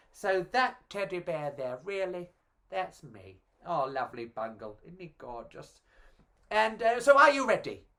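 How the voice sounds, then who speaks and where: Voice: mid-range voice, a bit bright. A British storyteller presenting for children. The delivery is theatrical and effusive, in a playful pantomime style with lots of exaggerated emphasis.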